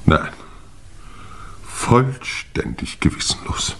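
An actor playing an impatient, flustered lord in a 1950s radio mystery, curt and given to hesitant interjections. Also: male voice, slow pace, a bit bright, slight background noise. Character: impatient, flustered English lord questioning his household.

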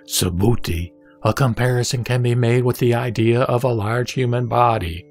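A man playing the Buddha as a serene teacher, reciting scripture in a measured, didactic, emphatic way. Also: medium pitch, faint music behind, close to an everyday voice. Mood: calm, instructive. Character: the Buddha, serene male spiritual teacher instructing a disciple. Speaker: sutra audio drama reader.

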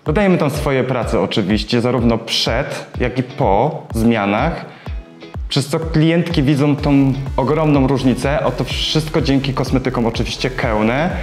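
A young man, a hairdresser, talks about his work in an earnest, brisk way. His voice sits at a middle pitch in a roomy space, with soft music playing under him. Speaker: hairdresser and salon co-owner. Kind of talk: sponsored testimonial interview. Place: bright hair salon, indoors.

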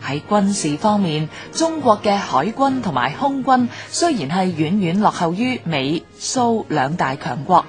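A woman narrator with a fairly low voice and a Hong Kong Cantonese accent. She reads prepared documentary script formally and with measured diction, over music.

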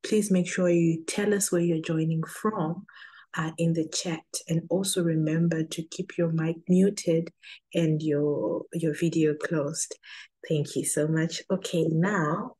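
Low, mellow Zimbabwean woman hosting. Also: steady tone, takes it slowly, husky. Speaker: event MC. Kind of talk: informal hosting of an online event.

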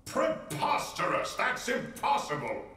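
An actor playing a haughty, wrathful undead knight lord in a video game, pompous and archaic, with an echoing spirit effect. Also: male voice, very high-pitched, wildly swooping pitch, measured pace, bright, forceful tone, full character voice. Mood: suspicious, outraged. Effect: echoing spirit reverb. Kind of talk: video game character acting. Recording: strong echo, distant sound.

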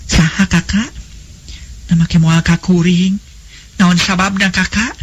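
Demanding young noblewoman, acted by a male storyteller, Sundanese accent, raised husky pitch, jealous and impatient, folk tale. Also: fairly even intonation, measured pace. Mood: impatient, anxious. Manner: eager, demanding.

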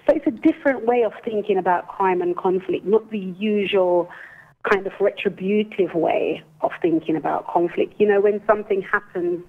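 A woman in her forties with an English accent talks over a thin, tinny phone line. Her delivery is earnest and deliberately slow, and her voice sits in a middle register. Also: medium pitch. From Jamaica. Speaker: guest, restorative justice coordinator. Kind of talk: phone-in interview, explaining a program. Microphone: telephone.

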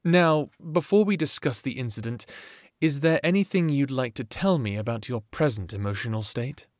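A man playing an attentive, gentle therapist in an audio roleplay, measured, polite and probing. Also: mid-range voice, lively intonation, no room sound, studio quality, natural voice. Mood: curious. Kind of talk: audio roleplay acting, one-sided conversation.

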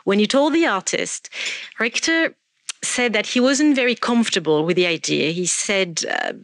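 A woman gives a talk from a lectern, speaking in a measured way with frequent ums and restarts. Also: medium pitch, animated, vocal fry, dry recording. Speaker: art researcher giving a talk. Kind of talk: presentation lecture. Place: indoor stage at a lectern. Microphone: stand mic at lectern.

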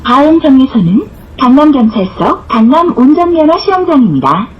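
A woman's voice: high-pitched, sing-song, normal speed, dull audio, slight background noise. A woman reading scripted bus stop announcements in a formal, carefully enunciated way, recorded inside a bus. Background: bus interior ambience.

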